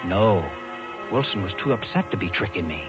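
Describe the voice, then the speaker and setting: Animated, determined American talent agent. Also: male voice, low-pitched, moderate pace, loud music behind the voice. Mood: hard-boiled narration. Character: determined, brisk New York talent agent man, narrator. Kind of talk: 1950s radio drama acting and narration. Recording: hissy old radio recording.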